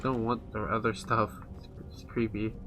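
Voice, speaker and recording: A man's voice: on the low side, little pitch movement, normal speed. A man giving casual, offhand, musing commentary while he plays a video game. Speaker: gamer commentating on his play. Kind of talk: casual let's play commentary. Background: game music.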